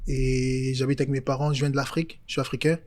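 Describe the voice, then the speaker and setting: A man in his twenties from Congo, talking casually as an interview guest, relaxed and hesitant with many fillers. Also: medium pitch, quick pace. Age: 20s.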